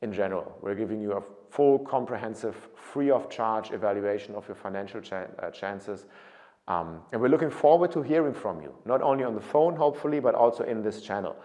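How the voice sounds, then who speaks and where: A man's voice: fairly low pitch, expressive, fairly quick, dark tone. A man in his thirties with a German accent, talking to camera as a study abroad consultant, earnest and prone to restarts. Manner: earnest, with frequent restarts and repeated words.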